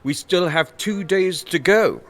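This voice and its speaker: An actor playing a self-important senior politician in a historical audio drama, measured, cautious and easily piqued. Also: male voice, sits high, fairly bright tone. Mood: dry, cautious. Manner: measured, pompous, hedging. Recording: music bed behind the voice.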